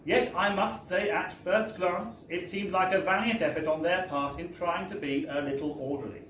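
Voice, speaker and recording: A British man with a slightly high voice reads a wry comic tale to a live room. His delivery is chatty and theatrical, like an anecdote told in the first person. The recording is echoey and distant, with faint noise. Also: steady tone, moderate pace, a bit bright. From Britain. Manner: chatty, wry, theatrical storytelling with tag-on phrases. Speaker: reader at a book launch. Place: bar, live event.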